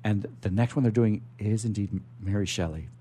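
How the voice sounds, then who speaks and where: A man's voice: lowish voice, a little fast, mellow, slightly rough. A man hosting a radio show in a casual, enthusiastic, rambling way, often repeating his phrases.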